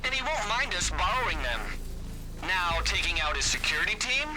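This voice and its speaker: An actor playing a nervy, wisecracking heist planner in a video game, rambling through briefings with hesitant, wry asides. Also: male voice, high-pitched, very animated, moderate pace, poor audio. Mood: wry, instructive. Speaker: heist planner giving mission instructions. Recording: loud music behind the voice. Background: loud music.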